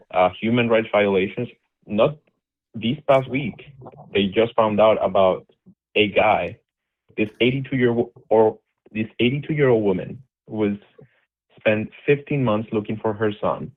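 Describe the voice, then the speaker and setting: Insistent man on a tinny phone line. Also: fairly low pitch. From Venezuela. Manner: insistent, talks over others, many restarts. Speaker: caller. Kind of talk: heated call-in debate.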